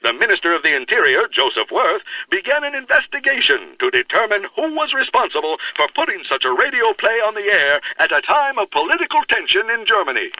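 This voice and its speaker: A man's voice: high-pitched, big pitch swings, fairly quick, hardly any pauses, bright, forceful tone, heavily acted, rough recording. A man playing an emphatic, urgent radio announcer reading a dramatized 1930s news bulletin. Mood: formal reporting. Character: urgent, alarmed German radio announcer breaking in.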